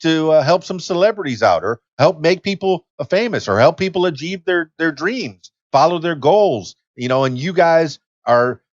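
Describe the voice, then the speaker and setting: A man in his fifties, a podcast host and DJ, chatting in a lively, self-deprecating way from a home studio. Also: on the high side, normal speed, hardly any pauses, no echo, dull audio. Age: 50s. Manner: lively, self-deprecating, talks about himself with gusto. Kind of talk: casual interview chat. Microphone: desk mic on a boom arm.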